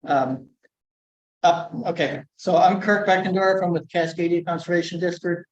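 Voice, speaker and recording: A soft-spoken man walks a meeting room through his education program, chatting along with asides and plenty of fillers. He sounds muffled and a bit distant, with the room's echo hanging around him. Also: sits high, fairly even intonation, moderate pace. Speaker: conservation district educator. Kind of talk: conference presentation.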